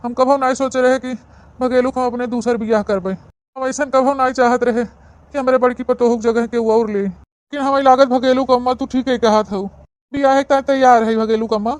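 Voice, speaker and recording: A woman voicing a gruff but soft-hearted old village farmer and husband with an Awadhi accent, in a cartoon comedy. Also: fairly high pitch, steady tone, moderate pace, dry recording, close to an everyday voice. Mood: reassuring.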